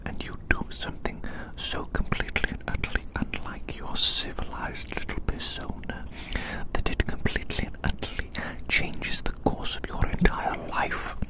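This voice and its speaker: Whispering ASMR roleplayer, musing and repetitive. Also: low-quality recording. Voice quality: breathy unvoiced whisper. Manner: musing, repeats phrases slowly and deliberately.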